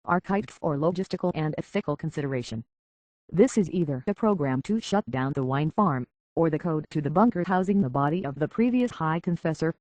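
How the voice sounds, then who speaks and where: An actor voicing a remorseful, idealistic synth leader in a video game, speaking in a grave, measured and deliberate way. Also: male voice, fairly high pitch, animated, darkish tone, no echo, natural voice. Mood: clinical, detached. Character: solemn, remorseful male synth android leader, idealistic and weary.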